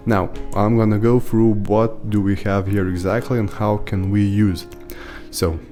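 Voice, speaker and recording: Man, 30s, native Lithuanian speaker, rambling tutorial host, deep-ish voice, desk mic at a computer. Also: little pitch movement, measured pace, mellow, soft background music. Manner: chatty, rambling, rant-like. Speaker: YouTube host, team lead. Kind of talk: casual screen-share tutorial. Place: small home room, indoors.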